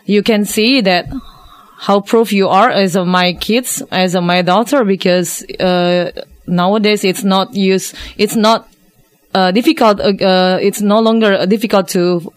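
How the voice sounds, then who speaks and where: A woman's voice: medium pitch, fairly even intonation, unhurried, dry recording. A woman in her thirties from Indonesia with an Indonesian accent, talking as a guest in a discussion, with many hesitations and restarts. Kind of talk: casual discussion.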